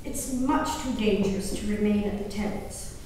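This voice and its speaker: A woman performing a dramatic stage reading as a grieving, wayward colonial-era gentlewoman and mother, emotive and deliberate, drawing out her words. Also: medium pitch, lightly acted. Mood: anxious, resolute. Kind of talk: theatrical dramatic reading of a diary. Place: live performance in a large echoey room. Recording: echoey hall, distant mic, faint noise.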